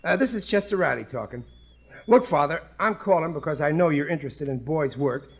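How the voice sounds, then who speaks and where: A man's voice: high-pitched, a bit bright, muffled recording, heavily acted. A man playing a blustering, gullible, eager working-class father in a 1950 radio sitcom, stammering and ungrammatical. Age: middle-aged. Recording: vintage 1950 radio recording.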